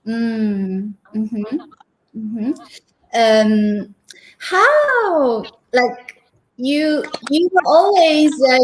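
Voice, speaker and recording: Female host on a video call, higher voice, unhurried, hesitant and full of fillers. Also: poor audio. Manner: hesitant with many "uh" fillers, encouraging "mm-hmm"s. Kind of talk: casual interview. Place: remote live chat.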